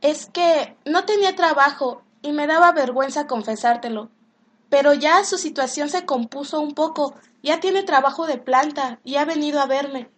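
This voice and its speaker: High-voiced young daughter played by a woman. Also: moderate pace, lightly acted. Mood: shy, excited. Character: bashful, affectionate young daughter in love. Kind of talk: comic radio play acting.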